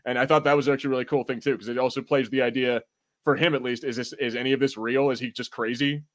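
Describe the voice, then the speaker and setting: A man's voice: mid-range voice, steady tone, quick pace. A man co-hosting a podcast, chatting informally in a rambling, sometimes sweary way. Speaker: podcast co-host. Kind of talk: casual chat, episode review banter.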